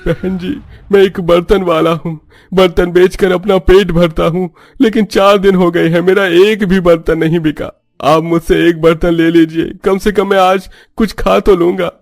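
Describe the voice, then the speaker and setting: A humble, starving utensil seller from a cartoon tale. His high voice is gravelly and flat in melody as he pleads. The sound is close and dry. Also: normal speed, hardly any pauses, no room sound. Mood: tearful pleading. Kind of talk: Hindi cartoon story voice acting.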